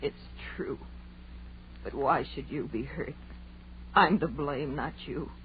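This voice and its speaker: A dull, slightly noisy vintage radio recording carries a guilt-ridden young woman in a horror drama. She speaks very slowly, sombre and resigned, with halting phrases. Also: deep voice. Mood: guilty resolve. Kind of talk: old-time radio horror acting.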